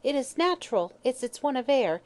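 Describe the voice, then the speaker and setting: Woman putting on a shrill, chattering landlady. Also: sing-song, moderate pace. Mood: fussing, sympathetic. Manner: rambling working-class speech, dropped h's.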